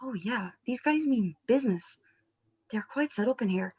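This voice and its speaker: Woman reading cheerful nonchalant musician, slightly high voice, breezy, comedy script. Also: expressive, moderate pace, a little mellow, slightly rough. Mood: breezy, unbothered. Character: cheerfully unbothered bandmate phoning from danger. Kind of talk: table read of a comedy script.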